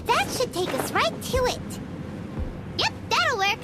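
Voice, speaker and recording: A woman voicing a tiny, childlike, excitable fairy companion in a fantasy game, squeaky and cutely chatty. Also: takes it slowly, heavily acted. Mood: wry, chatty. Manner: cute, chatty, exclamatory. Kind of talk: fantasy video game voice acting. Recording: loud music behind the voice.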